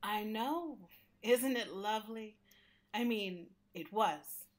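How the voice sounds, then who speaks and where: Woman voicing a slow-spoken, fussy library building. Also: medium pitch, lightly acted. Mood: serene, candid. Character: talking public library building, gentle but fussy, adores silence. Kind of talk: radio play acting in interview form.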